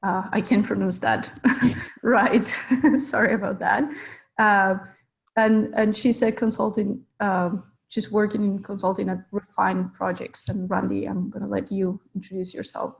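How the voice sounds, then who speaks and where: A woman's voice: medium pitch, level tone, a little slow, creaky, low-quality recording. A woman researcher and consultant presenting in an online webinar, hesitant, with many fillers. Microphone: video call.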